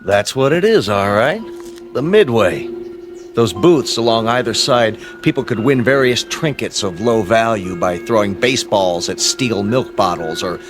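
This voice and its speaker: A sardonic know-it-all scientist in a radio drama. He has a fairly low voice and explains things with dry, clinical pedantry over background music. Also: lively intonation, a little slow. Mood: lecturing. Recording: music behind the voice.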